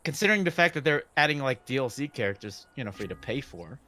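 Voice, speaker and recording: A man in his late twenties who sounds younger, chatting casually with halting, repeated phrases. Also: medium pitch, big pitch swings, bright, forceful tone, dry recording. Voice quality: youthful-sounding. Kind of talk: casual group chat.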